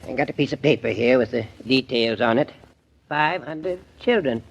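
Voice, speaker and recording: A man's voice: medium pitch, expressive, moderate pace, creaky, slight background noise. An actor playing a shrewd, folksy small-town selectman with a drawling rural way of talking, in a 1940s radio play. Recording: muffled vintage radio recording.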